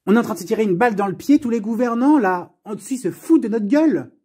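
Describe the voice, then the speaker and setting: A high-pitched male voice with a soft, mellow tone. He rants off the cuff in a blunt, sarcastic way, sounding fed up and swearing freely. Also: fast talker, slightly rough. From France. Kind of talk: unscripted personal rant.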